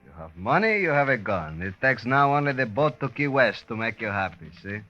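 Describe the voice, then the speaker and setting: An actor playing a taunting, mockingly courteous Cuban go-between with a Spanish accent in a radio crime drama. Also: male voice, mid-range voice, sing-song, fairly quick, natural voice. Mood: sly. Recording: muffled old radio recording.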